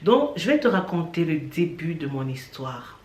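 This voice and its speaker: A woman's voice: low-pitched, sing-song, moderate pace, darkish tone. A woman from Cameroon giving an earnest personal faith testimony, speaking straight to the listener and repeating points for emphasis. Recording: echoey room.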